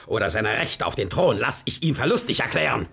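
A man's voice: medium pitch, very animated, a little fast, creaky, pushed and bright, husky, full character voice. An actor playing a tyrannical, choleric middle-aged Prussian king in a German radio play, with an imperious, blustering manner. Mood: scornful, threatening.

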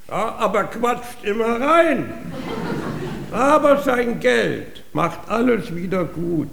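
A worldly, self-important music master with an eye on money. His voice is strikingly high for a man, with theatrical pomp. It is a radio comedy recorded with a roomy sound and faint noise. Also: creaky, full character voice. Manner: pompous, theatrical. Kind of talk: German radio play, theatrical comedy acting.

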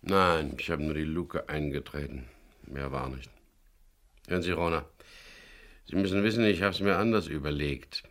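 An actor playing a dogged, world-weary English skipper held captive in a radio crime drama, with a dry, laconic manner. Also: male voice, extremely low pitch, moderate pace, long pauses, a bit bright. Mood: dry, reluctant. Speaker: lead actor and first-person narrator. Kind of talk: 1970s German radio crime drama acting and narration.

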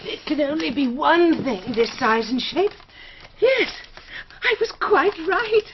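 An actress playing a nervous, chatty, genteel middle-aged English spinster in a radio drama, fluttery and hesitant, with a breathy, husky voice. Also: female voice, high-pitched, sing-song, slow, lo-fi sound, a little hiss or hum, heavily acted. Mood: excited.

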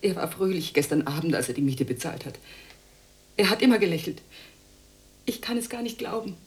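A woman playing a shaken, disbelieving landlady in a radio drama, talking in a hurried, repetitive way. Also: on the low side, lively intonation, lightly acted.

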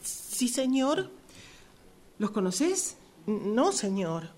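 A woman's voice: fairly high pitch, big pitch swings, drawn-out words, darkish tone, heavily acted. A woman playing a timid young boy messenger in an amateur radio play. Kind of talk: amateur radio theatre workshop reading.

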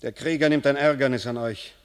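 A man's voice: medium pitch, fairly quick, clear, resonant tone, natural voice. A man acting a solemn, cautious duke in a classical verse radio drama, grave and declamatory. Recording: long hall reverb.

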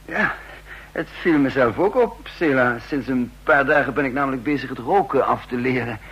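A man's voice: mid-range voice, moderate pace, a bit bright, slightly rough, dull audio. An actor playing a shrewd male counterintelligence chief who also narrates the radio drama, speaking with dry, self-assured irony. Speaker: narrator and lead character. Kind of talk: vintage radio drama acting.

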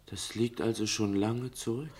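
An actor in a German radio play voicing an inquisitive, persistent schoolboy grandson in an earnest, probing manner. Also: male voice, lowish voice, steady tone, moderate pace, a little mellow, husky.

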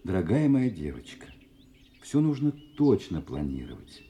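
An actor playing a suave, secretive husband with a volatile edge in an audio play, speaking in theatrical, silky tones. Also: male voice, low voice, huge pitch swings. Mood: coaxing. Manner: theatrical, silky endearments, drawn-out hesitations, sudden sharp outbursts. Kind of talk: Russian audio play acting.

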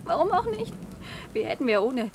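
A woman's voice: high-pitched, sing-song, moderate pace. An actress playing a tipsy, sardonic woman of the future in a theatrical 1970s radio drama style. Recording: faint noise of rain.

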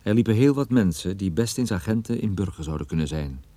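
A level-headed private detective in a radio crime play. The voice is on the low side and recorded very dry. He recounts events in a measured, suspenseful way. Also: lively intonation, dark tone, dry recording. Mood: tense, measured. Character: private detective, level-headed, narrating his own case. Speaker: radio drama actor. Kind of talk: radio play dialogue and first-person narration.

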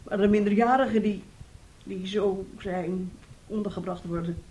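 An actress playing a troubled, soothing aunt in a Dutch radio play, telling things in a halting, emotive way. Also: female voice, mid-range voice, steady tone, warm tone, highs cut off, a little hiss or hum, close to an everyday voice. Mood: sorrowful recollection. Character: troubled, soothing aunt and mother of a disturbed boy.

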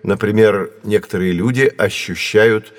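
An actor playing a learned, patient astronomy professor who explains things in a measured, didactic way in an educational audio drama. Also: male voice, fairly low pitch, no room sound, studio quality, natural voice. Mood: patient explaining. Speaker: teacher.

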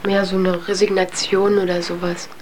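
A woman's voice: on the low side, flat delivery, moderate pace, darkish tone, a little hiss or hum. Reflective young woman in an interview. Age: young adult. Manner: reflective, hesitant, colloquial. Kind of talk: candid personal account.

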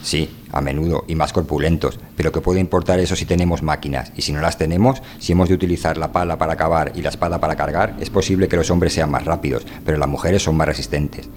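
A man acting a calm, inquisitive physicist from an anarchist world in a radio drama, speaking in a measured, questioning way. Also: rumbling low, slight background noise. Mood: thoughtful, questioning.

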